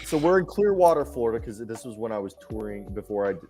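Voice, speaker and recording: A young man co-hosting a live basketball stream, talking loudly and animatedly in casual chat and laughing often. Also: lowish voice, big pitch swings, quick pace, soft background music. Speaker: co-host of a live basketball show.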